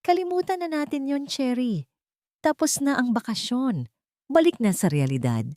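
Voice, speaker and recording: A woman's voice: high-pitched, very animated, moderate pace, darkish tone, no room sound, clean studio sound, voice pushed up. A woman voicing a guarded, anxious young office worker in an audio drama. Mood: hurt, curt.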